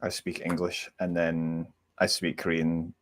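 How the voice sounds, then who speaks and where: A man's voice: deep voice, monotone, measured pace, a little hiss or hum. A man from Scotland with a Scottish accent, chatting casually on a tennis panel and tending to trail off. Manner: tentative, trails off. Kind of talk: casual chat.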